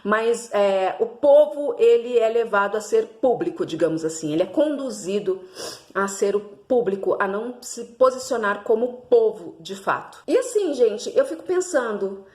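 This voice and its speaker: A woman's voice: a little high, animated, normal speed, darkish tone, roomy sound. A Brazilian woman hosting her own channel, giving an opinionated monologue with rhetorical questions and phrases she repeats for emphasis. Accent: Brazilian Portuguese. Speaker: channel host.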